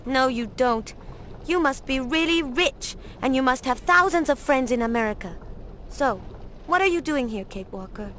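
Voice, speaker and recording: A woman's voice: sits high, animated, moderate pace, a little hiss or hum. A woman voicing a spunky, defiant young orphan girl in a video game, blunt and cheeky, with a childlike voice. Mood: defiant, curious. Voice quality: bright, childlike.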